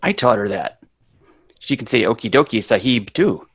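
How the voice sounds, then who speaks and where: A man reads the part of a young sitcom son. His mid-pitched voice swings up and down in a dry, close recording. He is reluctant, sarcastic and full of wisecracks. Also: sing-song, normal speed, a bit bright, husky, no echo, heavily acted. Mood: wisecracking.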